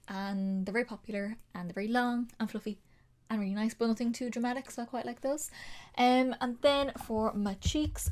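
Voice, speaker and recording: A woman's voice: fairly high pitch, a little mellow, a little hiss or hum. A woman talking casually through a makeup tutorial. She is slightly nasal from a sinus infection and rambles with frequent ums and likes. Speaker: beauty vlogger.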